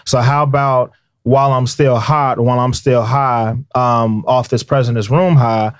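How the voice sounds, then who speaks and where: A man with a noticeably nasal voice talks loosely and at length, filling gaps with ums and you-knows. His voice sits at an ordinary pitch. He is recorded close on a studio mic with a dry, deadened sound. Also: steady tone, a little slow, clean tone, no echo. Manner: laid-back, rambling with fillers. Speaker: rapper guest. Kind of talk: casual interview talk. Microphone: studio microphone.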